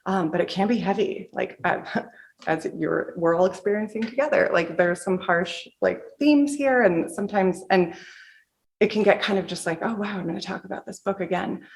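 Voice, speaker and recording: A woman's voice: lowish voice, gravelly. An American woman author from the Pacific Northwest answering audience questions casually and candidly, with informal, slangy phrasing. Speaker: author at a book reading.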